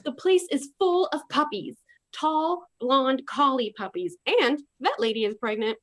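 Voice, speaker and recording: A woman's voice: high voice, unhurried, a bit bright. A woman narrating a comedic radio play with mock drama and sass.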